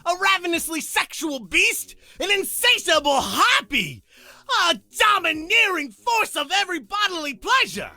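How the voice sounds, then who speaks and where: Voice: very high voice, very animated, unhurried, pushed and bright, no room sound, full character voice. An actor playing a flamboyant, teasing demon master of ceremonies in a visual novel, announcing with theatrical relish. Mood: showboating. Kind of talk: character acting in a visual novel.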